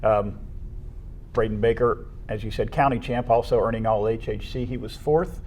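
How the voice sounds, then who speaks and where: A man's voice: lowish voice, fairly even intonation, normal speed, darkish tone, slight background noise. An American man co-hosting a school sports show, talking through results in a conversational, matter-of-fact, analytical way. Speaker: co-host of a school athletics show.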